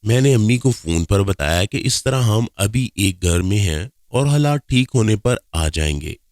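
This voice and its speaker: A man's voice: on the low side, animated, a little slow, a little mellow, no echo, studio quality. A man reading a scripted first-person story as its narrator.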